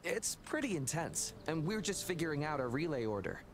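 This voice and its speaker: A man's voice: on the high side, very animated, a little fast, slight background noise. An actor voicing a thoughtful, self-assured young male team runner in a video game. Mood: measured, keen.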